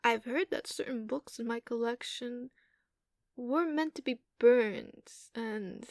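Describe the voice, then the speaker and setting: A woman voicing an ageless giantess dragon in an ASMR roleplay, haughty, doting and possessive, teasing with condescension and drawn-out hums. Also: a little high, a little mellow, no echo, studio quality. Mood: calm, self-assured.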